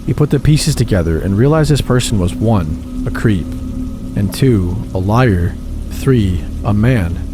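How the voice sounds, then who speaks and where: A man narrating first-person gaming horror stories in a steady, measured reading. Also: on the low side, over music. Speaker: channel host reading submitted stories. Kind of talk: scripted horror story narration.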